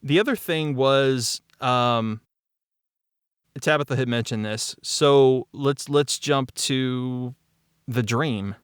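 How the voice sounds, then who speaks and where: A middle-aged man talking casually as a voice actor and creator in a cast discussion, telling stories in an animated way with mild swearing. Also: medium pitch, slow, clean tone, no echo, studio quality. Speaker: voice actor and audio drama creator.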